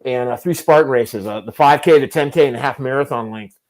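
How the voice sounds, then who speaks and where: A man in his fifties hosts a relaxed conversation in a mid-range voice. He rambles through long anecdotes and pokes fun at himself. His speech is loose and conversational, full of asides. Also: mid-range voice, brisk, poor audio. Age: 50s. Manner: rambling, self-deprecating storyteller. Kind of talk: casual chat.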